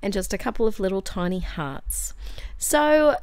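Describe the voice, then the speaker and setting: A young Australian woman with a hoarse, croaky voice, chatting casually over her scrapbooking video with lots of fillers. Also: medium pitch, big pitch swings, a little slow, darkish tone, no echo, rough recording. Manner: chatty, friendly, tangents and fillers. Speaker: scrapbooking channel host. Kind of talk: voice-over for a craft process video. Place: home craft desk.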